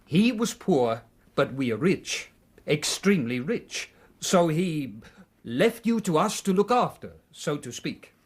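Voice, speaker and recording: A man's voice: a little high, very animated, slow, husky, exaggerated voice. An actor playing a brash, blunt, smug man in a radio drama. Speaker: Mister Woodley.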